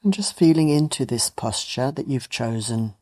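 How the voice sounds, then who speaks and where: A meditation teacher gently leading a guided meditation in a soothing, unhurried way with long pauses. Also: medium pitch. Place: meditation retreat.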